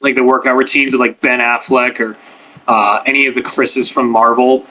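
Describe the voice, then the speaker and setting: A man's voice: mid-range voice, little pitch movement, moderate pace, bright, forceful tone, poor audio. A man on a podcast panel about ASMR, chatting casually with lots of fillers and rambling asides. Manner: rambling, filler-heavy, many "like"s. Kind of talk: casual chat.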